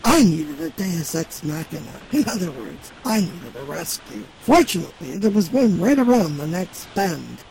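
A performer voicing a wry, self-assured female magic cat who narrates a fantasy audio drama in a droll, measured way. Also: medium pitch, sing-song, gravelly, a crowd in the background, rough recording. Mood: wry, dramatic.

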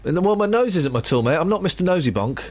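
A man's voice: high-pitched, very animated, quick pace, slightly rough. A man hosting a radio breakfast show, congested from a cold, talking in a rambling, jokey way in a studio. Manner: blocked nose, rambling and jokey. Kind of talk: casual chat.

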